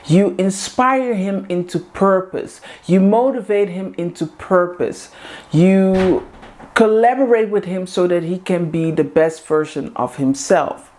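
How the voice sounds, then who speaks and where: A woman's voice: on the low side, unhurried, a little mellow, slight background noise. A woman whose first language is Dutch, speaking English to camera as host of a women's group, earnest and repeating coaching lines. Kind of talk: motivational talk to camera.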